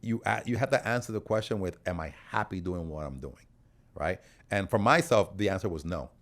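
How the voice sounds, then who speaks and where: A man's voice: low-pitched, expressive, brisk, a bit bright. A man hosting a podcast interview in a casual, conversational style, informal and affirming as he talks. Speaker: podcast host.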